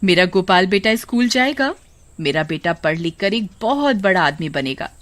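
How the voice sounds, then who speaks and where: A woman voicing a loving, reassuring mother in a children's story, doting and gently instructive. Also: lowish voice, lively intonation, normal speed, natural voice. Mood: proud, fond.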